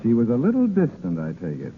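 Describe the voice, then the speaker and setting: Breezy, animated old-radio charmer. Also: male voice, mid-range voice, fast. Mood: wry. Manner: breezy, teasing, persistent. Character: brash, flirtatious, wisecracking man pursuing a woman aboard ship. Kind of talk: 1930s radio acting, romantic comedy. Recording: tinny old radio broadcast, audience noise.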